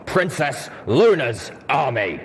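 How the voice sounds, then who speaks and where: A stern armored veteran stallion acted by a male reader speaks with suspicion and reproach in an animated storybook delivery. The recording has noise behind it. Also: medium pitch, slow pace, long reverb tail, pitched above the performer's own voice. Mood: indignant. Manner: stern, accusatory. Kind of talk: audiobook character voice.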